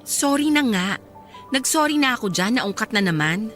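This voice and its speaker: Wheedling bride with lively, swooping pitch. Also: female voice, moderate pace, soft background music. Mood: impatient, insistent. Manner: coaxing, wheedling persistence. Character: insistent, scheming bride, pleading with her resentful half-sister. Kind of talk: emotional radio drama acting.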